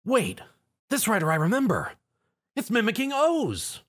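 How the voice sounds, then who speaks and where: A man's voice: high-pitched, huge pitch swings, unhurried, fairly bright tone, slightly rough, pitched above the performer's own voice, exaggerated voice. A man voicing an earnest armored hero, urgent and quippy, in a story. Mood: focused.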